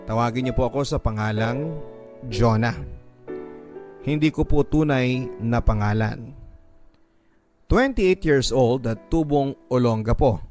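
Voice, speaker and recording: A man's voice: mid-range voice, unhurried, pauses often, darkish tone. A Filipino man reading listener letters as a radio host, in an earnest, sentimental, dramatic storytelling style. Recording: music behind the voice. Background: background music.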